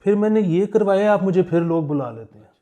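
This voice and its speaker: A man's voice: high-pitched, lively intonation, a little fast, warm tone. A man with a Pakistani accent chatting casually as a podcast co-host, telling anecdotes in a joking way and chuckling as he talks. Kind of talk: casual humorous current affairs chat.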